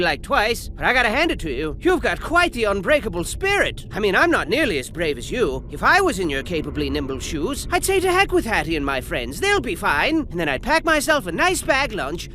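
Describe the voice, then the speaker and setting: A man giving scripted comedic narration for a video game, theatrical and rambling, with game music behind him. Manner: theatrical, excitable, rambling, sudden pitch swings.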